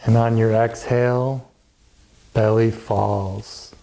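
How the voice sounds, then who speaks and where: A man gently and calmly guiding a yoga and relaxation class in measured phrases with pauses. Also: on the low side, gravelly, rough recording. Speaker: yoga teacher. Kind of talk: guided yoga and relaxation instruction.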